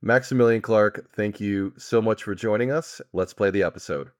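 A man's voice: fairly low pitch, moderate pace. A man hosting a podcast interview in a casual, conversational style. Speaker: podcast host.

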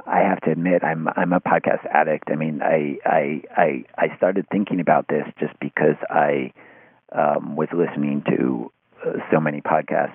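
A man's voice: rumbling low, breathy edge, dry recording. A man answering interview questions conversationally, hesitant and repeating words as he thinks aloud, over a thin, phone-like line. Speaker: interview guest, writer.

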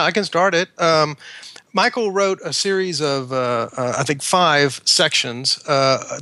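A man speaking as an author guest in a casual interview, explaining things in halting phrases. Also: fairly high pitch, pushed and bright, no echo.

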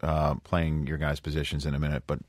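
A man in his forties with a low voice hosts a sports talk show. He asks questions and teases his guests in a playful way. The sound is dry and close to the mic. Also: monotone, fairly quick, dry recording. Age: 40s. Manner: playful, teasing, prompting questions. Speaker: host.

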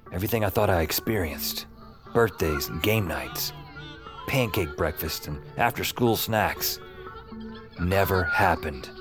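A low-voiced, brooding man speaks in an audio drama. He is wry and shaken, moving between dialogue and inner narration. Soft music sits underneath. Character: shaken, wry man doubting his whole past. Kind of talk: audio drama acting with first-person narration.

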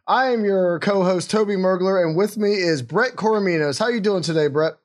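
Middle-aged american guy cohosting a soccer pod, a bit high-voiced, easygoing. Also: fairly quick. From United States. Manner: easygoing, turns earnest and then animated. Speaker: podcast co-host. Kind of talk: casual sports podcast chat. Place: home in Austin, Texas.

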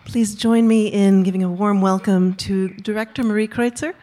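A woman's voice: medium pitch, fairly even intonation, moderate pace, dark tone, slight background noise. Eager woman moderating a stage talk. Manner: eager, with many fillers. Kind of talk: live Q&A moderation. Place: live stage event before an audience.

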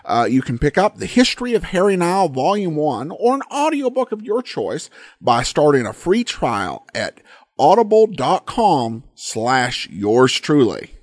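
A man's voice: on the high side, big pitch swings, unhurried, dry recording. A man hosting a podcast, giving commentary and sponsor reads into a close mic. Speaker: podcast host.

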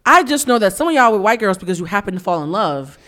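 A woman in her forties from the US East Coast hosts a frank podcast conversation. She talks at a brisk clip, and her voice stays in an ordinary middle register. She tells stories emphatically and holds firm opinions. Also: medium pitch, big pitch swings, a bit bright. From East Coast of the United States. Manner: emphatic, opinionated storytelling with acted-out quotes. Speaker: podcast host.

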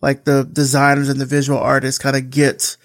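A man's voice: mid-range voice, monotone, fairly quick, a bit bright, no echo, clean studio sound. A man hosting a podcast, chatting loosely with lots of "like" fillers and telling stories with animation. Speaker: podcast host. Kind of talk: casual interview chat.

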